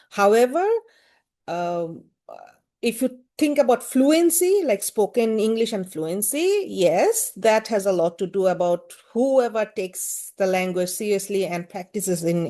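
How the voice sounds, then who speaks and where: Bangladeshi woman, warm mellow tone. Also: mid-range voice. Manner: thoughtful, many fillers and hedges. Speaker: guest, gender-rights activist. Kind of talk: conversational interview answer.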